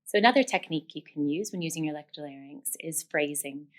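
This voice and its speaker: A woman's voice: low-pitched, fast talker. A woman giving step-by-step instruction as a speech therapist, explanatory and encouraging.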